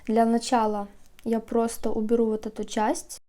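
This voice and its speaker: A young woman vlogging a candle-making how-to early in the morning, chatty and just woken up, with a slightly rough voice. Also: on the high side, completely flat delivery, normal speed. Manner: chatty, sleepy early-morning talk with soft laughs. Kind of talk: casual how-to vlog.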